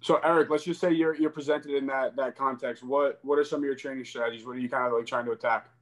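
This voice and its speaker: A man's voice: mid-range voice, steady tone, fast. A man on a group video call, chatting casually as he puts questions to the others. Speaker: call participant asking questions.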